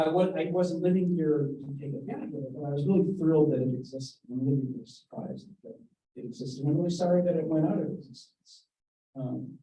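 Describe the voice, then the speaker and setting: A man telling a panel about his own past, animated and restarting his sentences, speaking into a handheld mic. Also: on the high side. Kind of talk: personal recollection at a panel. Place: event room. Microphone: handheld microphone held away. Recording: roomy, distant, muffled event recording.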